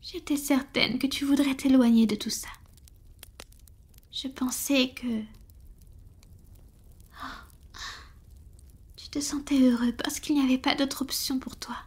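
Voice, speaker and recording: Woman acting as a lovestruck vampire lady, slow breathy whisper, kinda high, intimate roleplay audio. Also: fairly even intonation, heavily acted. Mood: moved, tender. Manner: slow, hesitant, affectionate, addressing a lover. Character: tender, lovestruck female vampire, devoted and doting.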